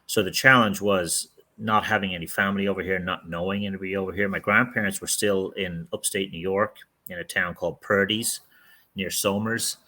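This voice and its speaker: Low-voiced middle-aged guy, punchy bright tone, rambling candid podcast answers. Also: steady tone, a little fast. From outside the United States. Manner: candid, rambling, with frequent restarts. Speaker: real estate team leader, podcast guest. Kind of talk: casual interview answers.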